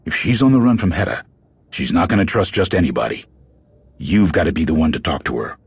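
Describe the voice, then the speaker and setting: An actor playing a stern, blunt military clan commander in a video game cutscene, with a husky, authoritative delivery. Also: male voice, animated, brisk, no room sound, full character voice. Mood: measured, persuasive. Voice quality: very deep, gravelly, husky.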